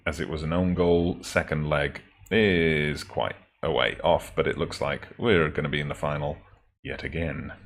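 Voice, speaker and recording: Male gaming host, extremely deep voice, slightly raspy throat, relaxed wry game commentary. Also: a little hiss or hum. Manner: chatty, self-correcting, wry asides.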